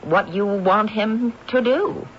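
A woman's voice: medium pitch, takes it slowly, lightly acted. An actress playing an earnest, polite young woman who speaks for her ghostly great-grandfather, in a radio drama. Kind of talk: 1970s radio drama acting. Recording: dull old radio recording with faint hiss.

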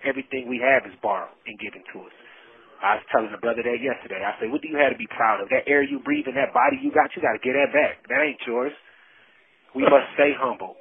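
A man's voice: mid-range voice, big pitch swings, fast talker, vocal fry. A man giving heartfelt, preachy testimony in casual conversation, repeating phrases for emphasis. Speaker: incarcerated storyteller. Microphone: phone call. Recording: muffled lo-fi phone call.

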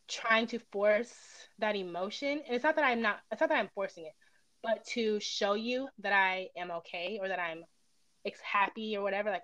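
A woman's voice: mid-range voice, fairly bright tone, dry recording, low-quality recording. A woman in a casual personal conversation, speaking candidly and reflectively as she feels her way through her phrasing.

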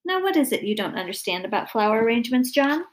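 A patient, indulgent storybook mother, played by a woman narrator, genteel in manner. Her voice is fairly high and lilts with plenty of movement. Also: very animated, fast. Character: patient, indulgent housewife mother with lofty interests.

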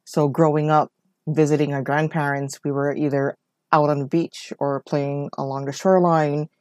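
A woman's voice: sits low, little pitch movement, moderate pace, a little mellow, no echo, studio quality. A woman, telling her life story as a podcast host in a rambling, conversational way. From Hawaii. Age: 40s. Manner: rambling, conversational, leans on "you know" and "whatnot". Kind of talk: personal storytelling monologue.

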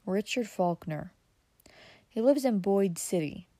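A man's voice: high-pitched, animated, slow, warm tone, dry recording, clean studio sound. A woman voicing a scheming, ruthless city-bred male villain in an audiobook, speaking curtly and brusquely. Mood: flat. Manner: curt, brusque, swearing.

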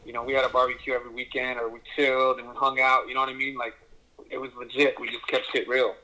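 A man's voice: mid-range voice, flat delivery, fast talker, sharp tone, rough recording. A man guest in a casual chat, rambling loosely with plenty of fillers and swearing. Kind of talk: casual chat with an old friend.

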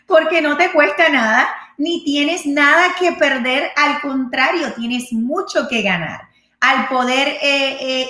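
Bright, high-voiced Puerto Rican woman host. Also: echoey room. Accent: Puerto Rican Spanish. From Puerto Rico. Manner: chatty, switches into English, keeps asking "¿ok?". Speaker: real estate consultant hosting a live show. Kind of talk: live-streamed call-in style talk.

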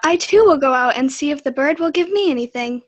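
A woman playing a young, tender-hearted daughter in an amateur fairy-tale reading, speaking loudly and eagerly. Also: sits high, lively intonation, brisk, dull audio. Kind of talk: amateur group read-aloud of a fairy tale.

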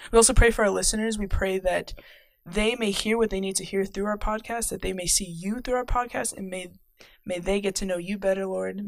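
A woman's voice: mid-range voice, gravelly, no echo. A young woman co-hosting a faith podcast, upbeat and chatty, also leading a spoken prayer. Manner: upbeat, chatty, stumbles over names. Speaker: podcast co-host. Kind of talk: casual chat and spoken prayer.

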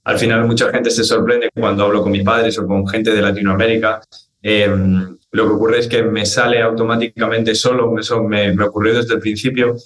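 A man in his twenties, born in Argentina, answering interview questions as a fitness trainer in a relaxed, rambling way. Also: on the low side, normal speed. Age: 20s. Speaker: guest, trainer. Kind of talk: casual interview talk.

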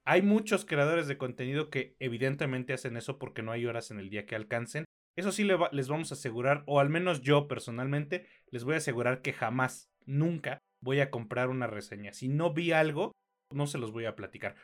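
A man's voice: medium pitch, lively intonation, a little fast, faint music behind. A Mexican man co-hosting a podcast, reviewing films and series in a blunt, casual, slangy way.

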